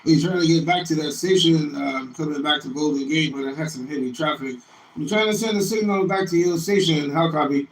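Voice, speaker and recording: A man operating an amateur radio, switching between drawn-out calls and friendly casual on-air chat. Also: on the high side, steady tone. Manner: friendly, drawn-out repeated call phrases. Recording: noisy, echoey, distant radio room with static. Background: radio noise and clicks.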